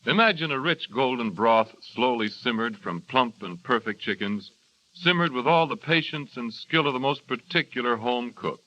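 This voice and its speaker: A man reading a scripted commercial as radio announcer, smooth and persuasive. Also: mid-range voice, lively intonation, normal speed, sharp tone. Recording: dull vintage radio recording.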